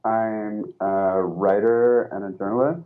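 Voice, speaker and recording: Man, American accent, guest, thoughtful, hesitant, very low pitch, roomy echo, dull phone sound. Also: fairly even intonation, slow. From Mexico. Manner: thoughtful, hesitant, many fillers. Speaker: guest writer. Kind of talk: phone-in interview. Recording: tinny echoey phone line.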